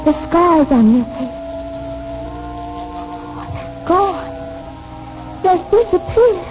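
An actress playing an earnest, tender newlywed young wife in a 1940s radio romance. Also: female voice, very high voice, sing-song, slow pace, full character voice. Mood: awed. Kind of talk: 1940s radio drama acting. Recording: dull old radio recording, faint music behind.

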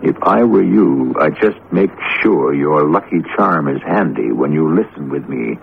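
A man hosting a radio drama with scripted suspense narration, gravelly, measured, theatrical and ominous. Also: extremely low pitch, animated. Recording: tinny, noisy old radio broadcast.

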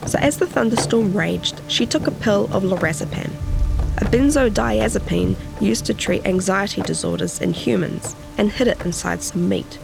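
New Zealand woman journalist, slightly creaky voice. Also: mid-range voice, normal speed, over music, low-quality recording. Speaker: journalist and podcast narrator. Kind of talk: interview chat and scripted feature narration.

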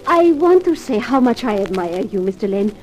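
An actress playing an alluring, admiring female nightclub dancer in a 1950s radio drama, coy and flattering. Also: medium pitch, moderate pace, natural voice, low-quality recording. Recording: mellow vintage radio recording, faint music.

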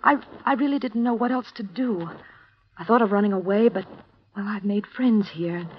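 A woman's voice: mid-range voice, normal speed, breathy edge, close to an everyday voice. An actress playing a frightened young woman who speaks anxiously and hesitantly, in a vintage radio drama. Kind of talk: 1950s radio drama acting. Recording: dull lo-fi vintage radio broadcast.

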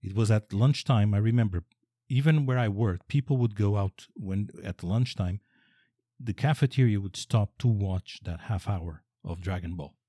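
A man's voice: fairly low pitch, dark tone, no room sound, studio quality. A man from Portugal with a Portuguese accent, speaking English as a second language in casual conversation, restarting his sentences often. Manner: halting, many restarts.

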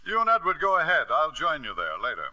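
A domineering husband in an old radio play. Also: male voice, on the high side, wildly swooping pitch, fast, sharp tone, no room sound, dull audio, full character voice. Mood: brusque. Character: Arnold Bolt, forceful domineering middle-aged man. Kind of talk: 1950s radio drama acting. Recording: old radio broadcast with faint music.

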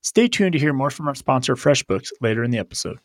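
A man's voice: medium pitch, animated, fast, a little hiss or hum. A man co-hosting an accounting podcast in casual chat, laughing often and a little stuffed up from allergies. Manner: chatty, laughs often, reads ads and quotes aloud. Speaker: podcast co-host. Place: recording studio.

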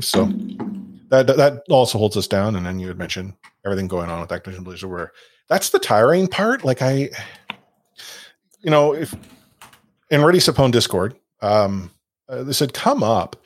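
A man hosts a casual podcast, talking into a podcast mic. His voice sits a bit low, and he rambles with frequent fillers, restarts and self-deprecating asides. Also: animated, normal speed, dry recording. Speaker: podcast host.

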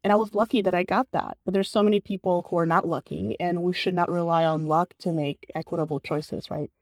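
A woman's voice: on the low side, steady tone, a little fast, darkish tone, dry recording. A woman from Bulgaria, a guest in an interview, talking earnestly in a casual way with lots of "like". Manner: earnest, many fillers like "like". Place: San Francisco.

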